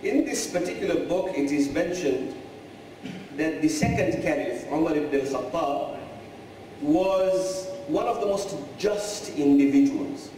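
A middle-aged man, a cleric, giving a religious lecture in a mosque hall, speaking in a measured, emphatic way and reciting Arabic verses. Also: fairly high pitch, animated, warm tone, slight background noise. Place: large mosque hall, indoors. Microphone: clip-on mic. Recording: echoey hall, mic far from the voice.

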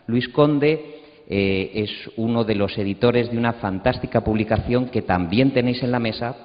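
A man's voice: mid-range voice, moderate pace. A middle-aged man with a Castilian Spanish accent, moderating a panel and introducing its speakers into a handheld microphone on an auditorium stage. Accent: Castilian Spanish from Spain. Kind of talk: introducing panelists at a round table. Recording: muffled, reverberant auditorium recording.

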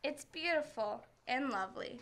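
A woman's voice: high-pitched, animated, normal speed, fairly bright tone. A young woman playing a dreamy, gushing, romantic girl in an old-time radio comedy. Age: teens to young adult. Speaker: student actor. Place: school library room, staged performance. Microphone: stand microphone on stage.

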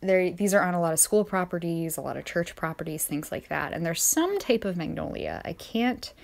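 A woman's voice: on the low side, lively intonation, a little fast, creaky. Bubbly young woman teaching kids outdoors. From eastern United States. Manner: chatty, bubbly and upbeat, talking to young children. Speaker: nature educator for children. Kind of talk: casual nature-walk lesson for kids. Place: outdoors in a sunny neighborhood. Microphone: phone held at arm's length.

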